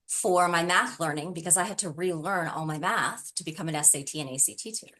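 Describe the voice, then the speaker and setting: A middle-aged woman speaks as a guest in a conversational interview. Her voice sits a little low. She is earnest and puts emphasis on the points she cares about. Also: fairly quick, bright, forceful tone. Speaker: interview guest, tutoring business founder.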